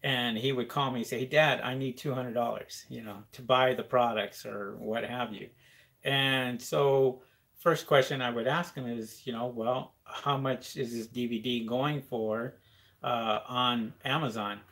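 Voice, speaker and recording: A man's voice: medium pitch, steady tone, measured pace, vocal fry, a bit bright. Chatty man hosting a live stream. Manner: rambling, reads viewer comments aloud, frequent fillers. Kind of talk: casual live-stream chat.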